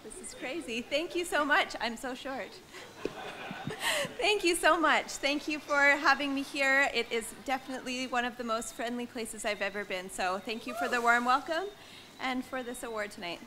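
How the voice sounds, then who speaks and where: A woman giving a short, effusive acceptance speech, heard quietly from a distance. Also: sits high, moderate pace, a little hiss or hum. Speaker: award winner.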